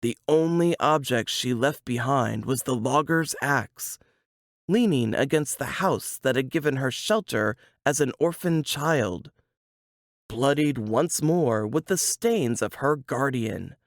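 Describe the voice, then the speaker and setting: Slow, suspenseful woman storyteller, very expressive. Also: a bass voice, no echo, clean studio sound. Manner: suspenseful, deliberate storytelling with dramatic pauses. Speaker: author reading from their novel. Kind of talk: dramatic audiobook-style suspense story reading.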